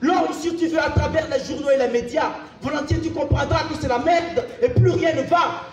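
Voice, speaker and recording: A man's voice: very high voice, fairly even intonation, moderate pace. A man performing theatrical slam poetry on a live stage, declamatory, intense and anguished, with insistent repetition. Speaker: slam poet. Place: live festival stage, picked up from a distance. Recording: echoey live stage, distant mic. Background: flute music.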